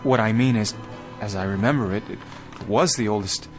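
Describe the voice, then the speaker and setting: An actor playing a bewildered, urgent man stranded in the past, halting and insistent, in a radio drama. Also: fairly low pitch, soft background music, close to an everyday voice. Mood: confused. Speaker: lead actor.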